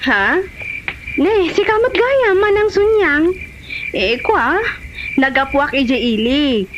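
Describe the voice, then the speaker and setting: A woman's voice: high-pitched, lively intonation, slow pace, fairly bright tone, muffled recording, a little hiss or hum, heavily acted. A woman with a Filipino accent playing a girlish young village woman in a comedy drama. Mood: bubbly.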